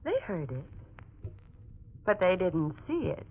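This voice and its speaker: Swooping, coldly menacing radio murderess. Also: female voice, fairly low pitch, sing-song, husky, exaggerated voice. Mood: smug, icy. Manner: theatrical, coolly menacing, deliberate. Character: cold, vengeful murderess who faked being a ghost. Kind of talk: 1940s radio thriller acting. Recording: very muffled old radio recording.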